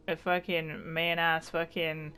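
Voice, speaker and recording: A woman's voice: sits low, little pitch movement, slow pace, sharp tone. A woman giving casual, joking, sweary commentary as she plays a video game. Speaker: game streamer. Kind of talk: casual let's play commentary.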